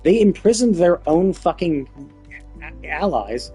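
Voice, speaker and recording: A man with a fairly high voice talks through a video game's story on a livestream. His delivery is casual and exasperated, peppered with swearing. Faint music plays behind him. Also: animated, unhurried, mellow, breathy edge. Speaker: game livestreamer.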